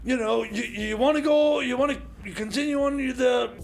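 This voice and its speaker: Very high, creaky, stammering mechanic. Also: male voice, normal speed. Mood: furtive, eager. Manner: stammering, rambling, repeats himself. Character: excitable, muddled, secretive male time machine mechanic. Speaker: comedy sidekick on radio show. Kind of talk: comedy radio theater. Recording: noisy community radio broadcast.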